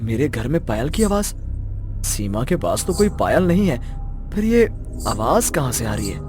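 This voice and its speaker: A man playing a poor, greedy, scheming village husband in an animated story, exclaiming and coaxing. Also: mid-range voice, normal speed, music in the background, close to an everyday voice. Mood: uneasy. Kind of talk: Hindi animated story dialogue.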